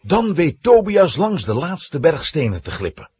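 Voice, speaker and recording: A man's voice: on the high side, huge pitch swings, a little slow, background noise. A man narrating a scripted children's audio play in a dramatic storytelling manner.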